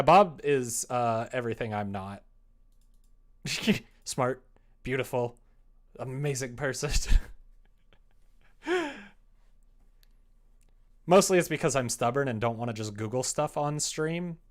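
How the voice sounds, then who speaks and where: A man's voice: medium pitch, expressive, slow pace, pauses often. Casual young man thinking aloud on stream. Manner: self-deprecating, informal. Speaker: livestreamer coding a game. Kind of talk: casual livestream chat, thinking aloud while working. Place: indoors, small room at a computer.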